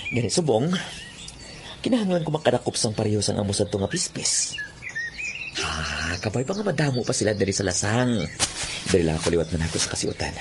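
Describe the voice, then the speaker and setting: A man's voice: fairly low pitch, very animated, brisk, long pauses, noisy background. A man acting a brash, easily awestruck young bird trapper in a radio drama, theatrical, with a Western Visayan Filipino accent. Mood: scheming, musing. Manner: theatrical, stammering when startled. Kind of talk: radio drama acting with first-person narration.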